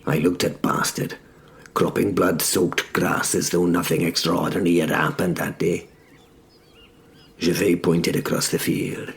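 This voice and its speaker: Deep, gravelly male storyteller, very slow. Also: flat delivery. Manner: measured, broken into short phrases. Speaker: narrator. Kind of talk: first-person dramatic scripted narration.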